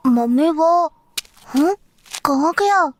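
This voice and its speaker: A woman's voice: sits high, animated, takes it slowly, a little hiss or hum. A woman playing an insistent, imaginative young boy with a hesitant, childlike manner in an audio horror drama.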